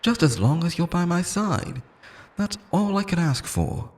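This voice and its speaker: A man's voice: sits high, big pitch swings, a little slow, darkish tone. A man playing an obsessive, lonely immortal lover in an intimate, theatrical roleplay monologue, pleading and possessive, moving between tenderness and menace. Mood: coaxing, menacing.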